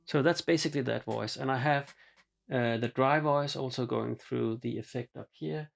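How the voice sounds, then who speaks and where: A man walking through a synthesizer patch in a home studio, talking in a relaxed, halting way with frequent ums and uhs. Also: medium pitch, vocal fry. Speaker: synthesizer demonstrator. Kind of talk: hands-on patch explanation. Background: synthesizer music.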